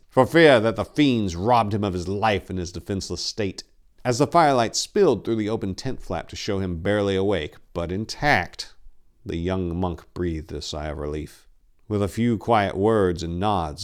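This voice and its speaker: A man narrating in the style of a dramatic story reading, with a theatrical, measured delivery. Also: low-pitched.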